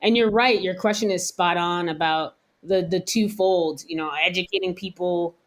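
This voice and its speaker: An American woman chef, around her thirties, talking candidly and thoughtfully in a relaxed interview, laughing now and then. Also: lowish voice, moderate pace. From United States. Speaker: chef, guest. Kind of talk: casual interview talk.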